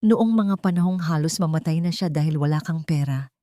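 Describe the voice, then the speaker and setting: A woman's voice: sits low, fairly quick, dark tone, no echo, close to an everyday voice. A woman voicing a betrayed wife and heiress in an audio drama, cool, dignified and controlled. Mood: bitter.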